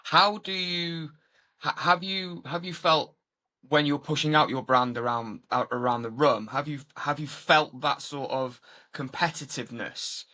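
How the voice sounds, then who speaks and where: Male co-host, bright tense tone, halting interviewer. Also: on the high side, fairly even intonation. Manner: halting, rephrases questions as he goes. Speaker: podcast co-host. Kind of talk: interview questions.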